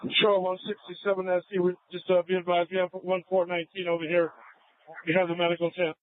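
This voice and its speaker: Male cop, terse radio reporting, urgent commands, highish voice, tinny scanner sound. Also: flat delivery, fast talker, dry recording. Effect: police radio. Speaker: police officer on duty.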